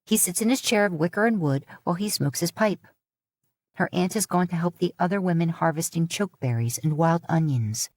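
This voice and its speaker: Woman, audiobook narrator, low voice, calm, dry sound. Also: fairly quick, no echo. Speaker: podfic narrator.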